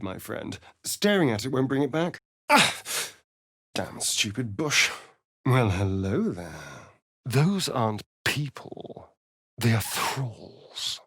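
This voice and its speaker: A man's voice: mid-range voice, a bit bright, breathy edge, no room sound, studio quality, full character voice. An actor playing a suave, sardonic male vampire spawn in a video game, with an arch, drawling, theatrical manner. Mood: sardonic, exasperated. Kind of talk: video game character voice lines.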